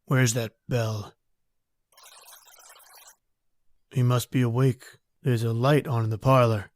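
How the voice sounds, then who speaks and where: A cold, controlling killer on the run played by a male actor in a vintage radio thriller. His voice is mid-pitched, and he talks in terse, tough-guy phrases with quiet menace. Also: flat delivery, normal speed, slight background noise, natural voice. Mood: curt, commanding. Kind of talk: old-time radio crime drama acting.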